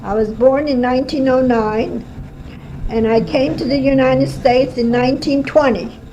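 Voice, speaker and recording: A woman's voice: sits high, steady tone, mellow. Older woman recounting her childhood. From Armenia (Erzurum, Turkey). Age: 70s. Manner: slow, halting storytelling with fillers. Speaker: interviewee. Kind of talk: oral history interview. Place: home kitchen. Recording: lo-fi home cassette tape with faint hiss.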